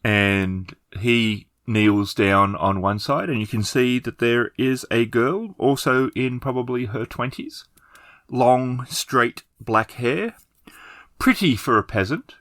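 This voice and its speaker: A man's voice: medium pitch, slow, fairly bright tone, no room sound. A man working as game master, improvising casual scene descriptions for a tabletop game and circling back to rephrase.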